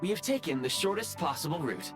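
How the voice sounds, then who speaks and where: An earnest game robot guide with a highish, very lively voice. The delivery is formal, precise and unfailingly courteous, with music playing clearly behind it. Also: male voice, fairly quick. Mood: reassuring. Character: polite, earnest robotic train guide, apologetic and overconfident. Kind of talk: video game voice acting.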